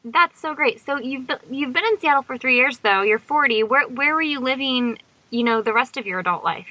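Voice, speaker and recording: A woman's voice: high-pitched, animated, a little fast, sharp tone, dry recording, low-quality recording. A woman hosting a podcast, asking questions in a casual, conversational interviewing style.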